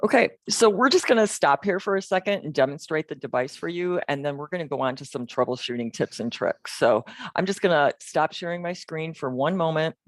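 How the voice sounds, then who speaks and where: A man's voice: fairly high pitch, lively intonation, brisk, few pauses, no echo. A man co-presenting an instructional session over a video call. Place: video call with screen share.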